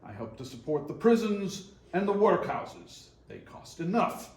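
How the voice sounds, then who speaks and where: A man's voice: high-pitched, big pitch swings, measured pace, a little mellow. A man playing a cold, irritable old miser in a readers theater, curt and emphatic. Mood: irritable, dismissive. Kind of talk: readers theater stage reading. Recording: roomy echo.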